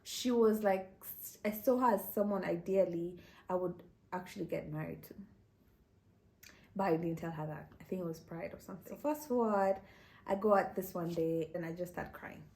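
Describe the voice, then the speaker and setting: A woman's voice: fairly low pitch, normal speed, darkish tone. Chatty woman telling a story. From Kenya. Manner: chatty, laughs often, leans on "you know". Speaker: vlogger. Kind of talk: casual storytelling to camera.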